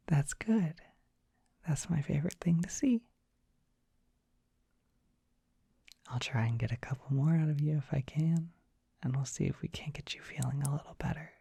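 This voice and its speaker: A performer playing a dorky, gentle young dragon boy in an ASMR roleplay, speaking in a soft breathy whisper, tender and bashful. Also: mid-range voice, normal speed, dry recording. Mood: gently hopeful. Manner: tender, bashful, hesitant, softly reassuring. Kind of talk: ASMR roleplay, intimate comforting monologue.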